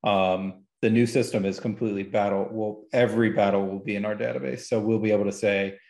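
A man with a fairly low voice speaks as a guest data analyst on a gaming show. He explains technical details casually, halting and regrouping as he thinks. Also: steady tone, creaky, darkish tone, echoey room.

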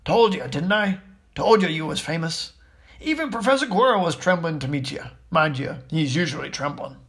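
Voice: medium pitch, animated, moderate pace, a bit bright. A storyteller voicing a big, hearty, rustic giant of a man with a blunt, folksy manner.

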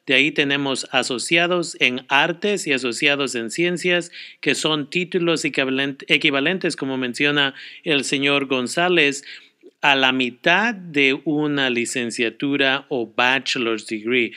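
A man's voice: on the high side, bright, forceful tone, clear, resonant tone. A male radio host interviewing a guest and explaining points fluently to listeners.

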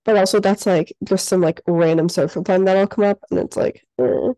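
A young woman co-hosting a podcast while sick, her voice congested and stuffed up. Her tone is mellow and soft-edged, recorded close in a dry room. She rambles in a casual chatty way, leaning on "like" constantly. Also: lowish voice, steady tone, moderate pace, no echo, low-quality recording. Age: young adult. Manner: chatty, lots of "like" fillers. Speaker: podcast co-host. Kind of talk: casual chat.

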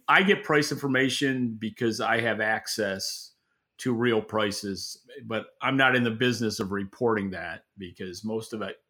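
A man's voice: mid-range voice, bright, forceful tone. A man co-hosting a podcast as an industry analyst, giving unscripted, opinionated explanations and often rephrasing mid-sentence. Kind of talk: unscripted expert market commentary.

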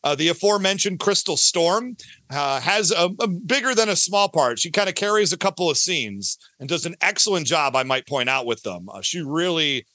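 A man's voice: a little high, sharp tone, no room sound. A talkative man speaking casually and emphatically as a podcast guest, often repeating phrases to drive a point home. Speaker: podcast guest, audio drama creator. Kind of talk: casual interview chat.

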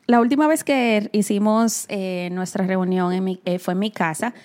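A woman's voice: medium pitch, steady tone, moderate pace, darkish tone. A woman from the Republic, co-hosting a casual chat and giving listeners practical advice. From Dominican Republic. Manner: advises listeners directly, addressing them as "señores". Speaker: co-host.

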